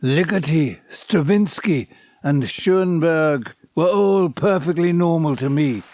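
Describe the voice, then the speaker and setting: A man's voice: a little high, takes it slowly, a little mellow, dry recording, studio quality. An actor in an audio drama playing a wistful, bookish man who recalls his past loves in articulate, formal, slightly hesitant phrases. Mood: reflective. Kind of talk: audio drama acting, dialogue and reflective monologue.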